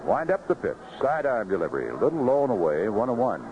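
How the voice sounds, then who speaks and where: A man's voice: medium pitch, very animated, fast talker, dull audio, background noise. A man calling live baseball play-by-play as a radio announcer on a vintage 1970s broadcast. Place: outdoor ballpark broadcast booth.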